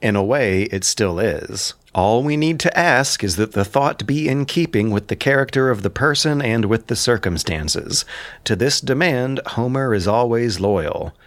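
A man's voice: mid-range voice, expressive, a little slow, no room sound. A man delivering scripted literary commentary as a host, in an erudite, emphatic and enthusiastic manner.

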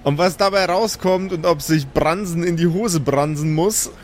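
A man with a fairly high voice acts as game master of a role-playing podcast. He narrates in a casual, improvised manner. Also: moderate pace, heavy background noise.

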